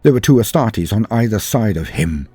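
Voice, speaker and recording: Male narrator for dramatic fiction: very low, rough-edged voice, grave and theatrical delivery over faint music. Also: sing-song, moderate pace, mellow. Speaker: storyteller narrating fan fiction. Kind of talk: dramatic scripted first-person narration.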